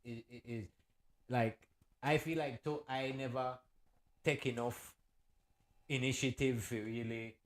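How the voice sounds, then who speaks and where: A man's voice: medium pitch, fairly even intonation, measured pace, long pauses, reverberant. A Belizean man, a musical artist, in a rambling casual chat who keeps checking in with his listener. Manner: rambling, keeps saying "you know what I mean".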